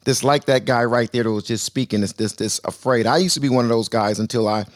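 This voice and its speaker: A man's voice: mid-range voice, fast, no room sound, clean studio sound. A man hosting a casual live call-in discussion, playful and self-mocking, laughing easily as he passes the mic to callers. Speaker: host. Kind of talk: casual live audio-room chat.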